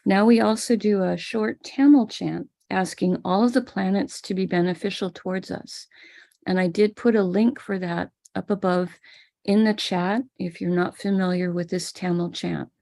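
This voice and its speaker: An American woman teaching a ritual on a live stream, calmly explaining each step. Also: mid-range voice, normal speed, creaky. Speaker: spiritual teacher leading a ritual. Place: home room with a small altar table.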